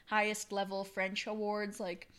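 A woman's voice: mid-range voice, a little slow. A young Canadian woman co-hosting a podcast, chatting casually and laughing often as she tells stories. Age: young adult. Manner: laughs often, animated storytelling. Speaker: podcast co-host. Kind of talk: casual chat.

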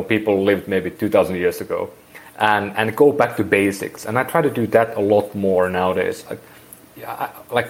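A man in his forties from Finland with a Finnish accent, speaking English as a second language and rambling through an interview with many restarts. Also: lowish voice. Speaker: guest. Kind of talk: casual interview.

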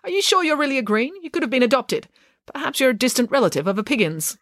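Storybook pig nanny, female, haughty and self-assured, pompous delivery, lively intonation; performed by a woman reader. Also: high voice, fast talker, no echo, clean studio sound, pitched above the performer's own voice. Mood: grand. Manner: emphatic, pompous declarations.

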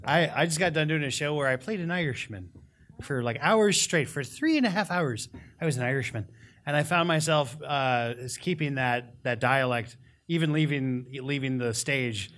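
A man's voice: mid-range voice, animated, normal speed, fairly bright tone. A man telling a casual anecdote as an actor on a live convention panel.

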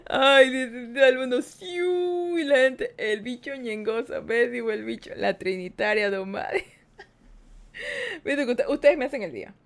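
A woman's voice: high voice, expressive, measured pace. A woman hosting a live-streamed podcast, chatty and playful as she talks straight to her viewers. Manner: playful, chatty, reads viewer comments aloud. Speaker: podcast host.

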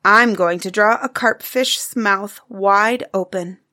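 A woman's voice: medium pitch, takes it slowly. A woman voicing an eager, chattering little girl from a storybook. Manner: excited, chattering, childlike. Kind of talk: storybook reading.

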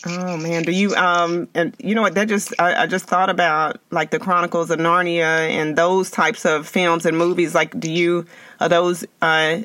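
A man's voice: on the high side, level tone, normal speed, bright, forceful tone, slight background noise. A man hosting a podcast interview, upbeat and chatty, often restarting his questions. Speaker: podcast host. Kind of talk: casual interview.

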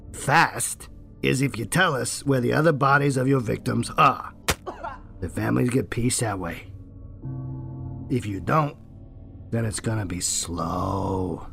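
A man playing a cocky, menacing gang leader in an audio drama, taunting with drawn-out sarcasm. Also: mid-range voice, gravelly. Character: cocky, mocking, menacing tough-guy male gang leader.

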